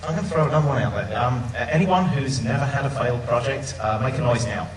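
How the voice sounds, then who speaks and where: A man's voice: medium pitch, fast. A man co-hosting a live podcast panel in front of a conference audience, polling the room and running through the news. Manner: runs the discussion, polls the audience. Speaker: podcast co-host. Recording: roomy hall, camera far off, noisy.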